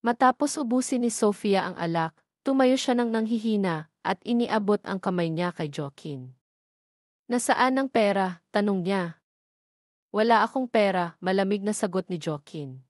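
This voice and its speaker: A woman narrating a romance audio drama in a steady storytelling manner. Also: medium pitch, normal speed, no room sound, studio quality.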